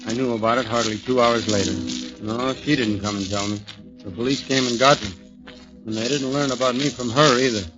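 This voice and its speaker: Male, acting bewildered young accused American, medium pitch, halting, earnest. Vintage radio drama, muffled recording. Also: fairly even intonation, close to an everyday voice. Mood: grim, resigned. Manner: hesitant, plain-spoken, stammering when pressed. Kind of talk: 1940s radio drama acting, with first-person narration. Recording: dull 1940s radio recording, music behind.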